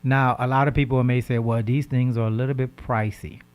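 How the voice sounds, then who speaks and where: Animated male radio host, "right?" after every point. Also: medium pitch, brisk, smooth. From Haitian background. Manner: animated, repeats words, asks "right?" often. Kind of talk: casual health talk on radio.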